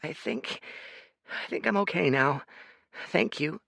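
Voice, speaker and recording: Man doing a grieving, strange desert boy, high and soft. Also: lively intonation, takes it slowly, creaky, no room sound, heavily acted. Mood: sniffling. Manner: soft, trembling, wistful.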